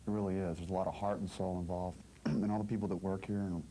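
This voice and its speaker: Man, deep, quick, earnest. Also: little pitch movement, warm tone, slight background noise, rough recording. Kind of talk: casual interview talk.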